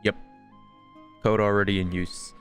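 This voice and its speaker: Young male streamer, low voice, offhand and rambling gameplay chat, game music behind. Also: level tone, unhurried. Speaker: live streamer. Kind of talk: casual gameplay commentary.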